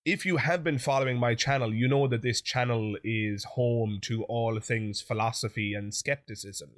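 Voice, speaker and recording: A man's voice: medium pitch, fairly even intonation, moderate pace, a bit bright. Male host, chatty direct-to-camera commentary, chesty and sniffly from an infection. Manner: chatty, direct address to viewers. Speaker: YouTube channel host. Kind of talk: opinion commentary monologue.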